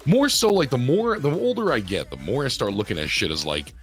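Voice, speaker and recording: A man in his late thirties, co-hosting a podcast in casual chat, animated and profane, often asking "you know what I'm saying". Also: mid-range voice, brisk, soft background music. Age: 30s. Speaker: podcast co-host.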